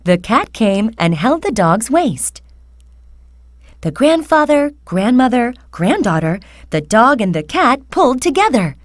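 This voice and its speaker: High-pitched, slow woman storyteller. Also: big pitch swings, no echo, clean studio sound. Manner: slow, careful, deliberate enunciation. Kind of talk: scripted children's fairy tale narration.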